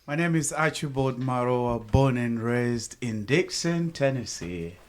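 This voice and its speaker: A man's voice: mid-range voice, lively intonation, unhurried. A man in his thirties from Zimbabwe, with a Zimbabwean accent, talking earnestly and gratefully as a guest on a podcast. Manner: earnest, grateful, joking at times. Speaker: sales team guest. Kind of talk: casual podcast interview.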